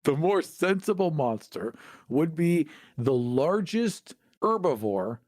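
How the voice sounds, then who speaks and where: A man's voice: on the high side, sing-song, slow pace, darkish tone, no echo. A man bantering casually as a podcast co-host, playfully argumentative and swearing now and then.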